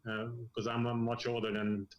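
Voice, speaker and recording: A man's voice: mid-range voice, completely flat delivery, moderate pace, dull audio. 40s guy from hungary and the us, comic artist, rambly with lots of restarts, roomy echo. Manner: rambling, frank, with repeated restarts. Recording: echoey room.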